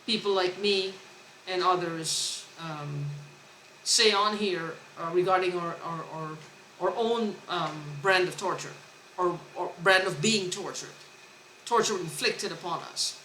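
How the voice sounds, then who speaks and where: A woman talking to camera in a rambling personal monologue, halting, restarting and repeating words, earnest and insistent. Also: fairly low pitch, bright, forceful tone, a little hiss or hum. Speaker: vlogger. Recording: echoey room recording.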